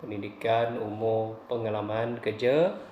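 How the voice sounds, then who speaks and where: A man's voice: on the low side, steady tone, a little slow, muffled recording, slight background noise. A man, a student conducting a formal interview, polite and hesitant with many fillers. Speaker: interviewer, distance-learning student. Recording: roomy, distant mic, faint ambience.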